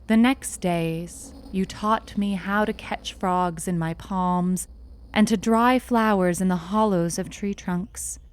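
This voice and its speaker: A woman narrating a short story in an intimate first person, with a measured, tender, dramatic delivery. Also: mid-range voice, clean tone.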